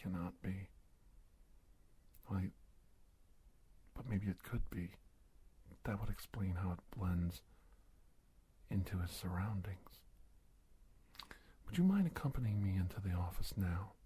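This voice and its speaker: Guy playing careful polite gov agent doctor in asmr drama, deep dark voice, hesitant, slips into whispers. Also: animated, husky, exaggerated voice. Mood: quietly curious, then cautious, polite. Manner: hesitant, halting, polite, often drops to a whisper. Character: cautious, polite government investigator doctor confronting a disguised creature. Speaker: ASMR performer. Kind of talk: soft-spoken ASMR roleplay.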